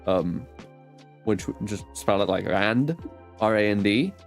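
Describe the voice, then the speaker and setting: A man's voice: on the low side, a little slow, gravelly, soft background music. A man from South Africa with a South African accent and Afrikaans as his first language, hosting a casual interview, laughing often and restarting his sentences. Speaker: host.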